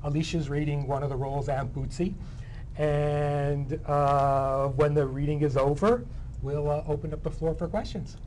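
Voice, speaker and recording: A man answering interview questions as a playwright guest, conversational, with halting and hesitant phrasing. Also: fairly high pitch, steady tone, a little mellow, rough recording. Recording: faint background room noise.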